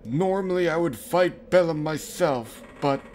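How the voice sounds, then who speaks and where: A man voicing a solemn old ocean spirit king in a cartoon parody, deliberate and dryly wise. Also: fairly high pitch, a little mellow, a little hiss or hum, natural voice.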